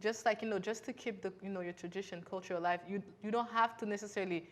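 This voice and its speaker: Teen girl, from Haiti, casual talk-show co-host, animated, mid pitch. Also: fast talker. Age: teens. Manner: animated, quotes others in put-on voices. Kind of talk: casual chat.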